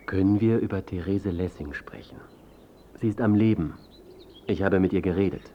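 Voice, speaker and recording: An actor playing a pushy, self-important male biographer in a radio drama, smooth-talking and evasive. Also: deep voice, normal speed, dull audio. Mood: insistent. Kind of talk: radio drama dialogue and narration.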